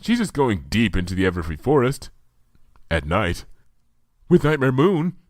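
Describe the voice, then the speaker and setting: A man's voice: mid-range voice, very animated, normal speed, no echo, studio quality, lightly acted. A man voicing a cheeky young male dragon who speaks in a flippant, sardonic way. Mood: wry.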